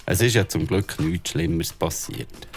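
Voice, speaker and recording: A man's voice: low-pitched, measured pace, slight background noise. An actor playing a kind but worried father, speaking earnestly with a Swiss German accent. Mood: calm. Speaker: father character. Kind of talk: children's audio drama acting.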